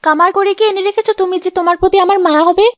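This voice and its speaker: Very shrill, scolding cartoon village wife. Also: female voice, steady tone, rapid-fire. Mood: scolding, annoyed. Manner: sharp-tongued, nagging. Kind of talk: cartoon voice acting.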